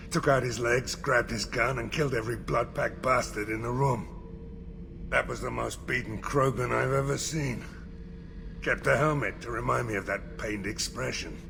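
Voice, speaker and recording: A man playing a ruthless, boastful older mercenary in a video game, with a gravelly voice. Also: medium pitch, natural voice. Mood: grim relish. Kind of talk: video game dialogue acting. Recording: clearly noisy ambient background.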